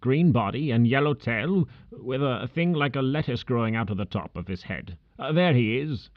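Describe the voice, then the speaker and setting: A man voicing an old miser who stammers excitedly between laughing and crying. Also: mid-range voice, slow pace, dry recording, phone-quality sound, voice pushed up. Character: old miserly man overcome with joyful nostalgia.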